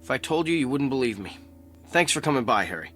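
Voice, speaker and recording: An American actor playing a troubled, brusque office executive, terse and probing, with sardonic irony in a naturalistic drama. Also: male voice, medium pitch, sing-song, fast, fairly bright tone, faint music behind. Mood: bitter, resigned.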